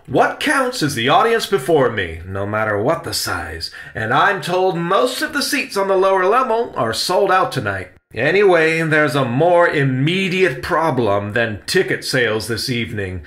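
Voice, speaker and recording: Man acting theatrical uncle, stage actor, passionate, emphatic declamation, raised lively pitch, storybook character. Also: measured pace, full character voice. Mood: earnest, emphatic.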